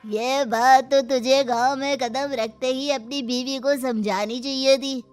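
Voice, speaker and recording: A woman's voice: high voice, steady tone, unhurried, darkish tone, smooth, soft background music. A woman playing a stern, reproachful village uncle who guards family honour in an audio moral story.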